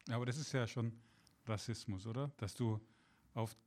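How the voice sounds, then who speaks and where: A man's voice: medium pitch, normal speed, darkish tone. A man hosting a casual conversation, asking questions and rephrasing them as he goes. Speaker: host.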